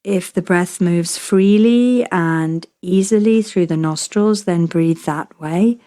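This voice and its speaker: A woman yoga nidra teacher leading a guided relaxation meditation in a soothing, measured way, leaving long pauses between short phrases. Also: lowish voice, dark tone.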